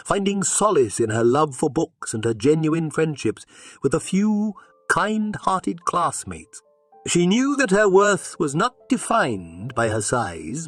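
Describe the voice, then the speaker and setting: Animated male storyteller with a husky edge. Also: medium pitch. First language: English. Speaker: narrator. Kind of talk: scripted story narration.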